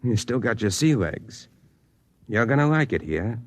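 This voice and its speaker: An actor playing a gruff, world-weary older hiring boss, cynical and patronizing, with streetwise, lecturing delivery in old radio drama style. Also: male voice, mid-range voice, sing-song, a little slow, no room sound. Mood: fatherly warning. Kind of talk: 1950s science-fiction radio drama acting.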